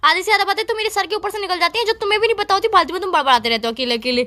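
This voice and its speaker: A woman's voice: extremely high pitch, rapid-fire, pushed and bright. A woman, playing an indignant, pouty girlfriend who scolds and argues in an acted phone-call comedy. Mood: scolding. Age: 20s.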